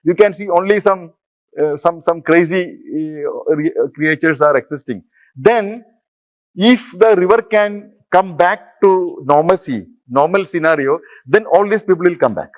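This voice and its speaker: A man's voice: a little high, a little slow. A middle-aged man from Kerala, speaking English with a Malayalam accent, giving a university lecture and often asking the audience questions. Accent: Malayalam-influenced Indian English. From Kerala, India. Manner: interactive, asks audience questions, explains step by step. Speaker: professor, lecturer. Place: lecture hall.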